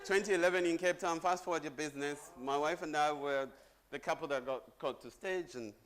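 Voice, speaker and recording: A man's voice: fairly high pitch, brisk, fairly bright tone, slight background noise. A middle-aged man from Zambia giving a chatty conference presentation on stage, joking with the audience. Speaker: conference speaker.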